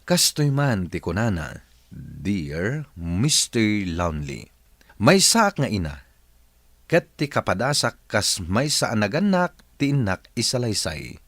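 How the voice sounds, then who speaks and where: A man's voice: fairly low pitch, big pitch swings, measured pace, fairly bright tone, no room sound, full character voice. A man with an Ilocano Filipino accent playing a radio drama host who reads out listeners' life stories. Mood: solemn, storytelling. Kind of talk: scripted radio drama narration.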